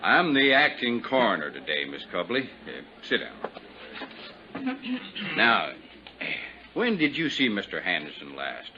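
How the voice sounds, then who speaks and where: Low-voiced folksy sheriff questioning formally. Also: male voice, huge pitch swings, slow pace, pauses often, full character voice. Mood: methodical, official. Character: plain-spoken western sheriff acting as coroner. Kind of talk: 1950s radio drama acting. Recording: dull, noisy old 1950s radio recording.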